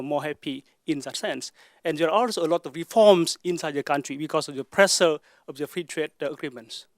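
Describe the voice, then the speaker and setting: Man from Vietnam, raised-pitch question. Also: dry recording, studio quality. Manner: earnest, halting with restarts. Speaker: audience member asking a question. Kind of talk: public Q&A remark.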